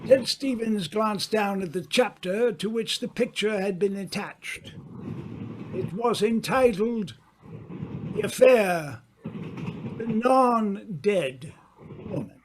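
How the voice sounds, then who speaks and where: A man narrating an old-time radio mystery in a dramatic, deliberate storytelling manner. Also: high voice, noisy background.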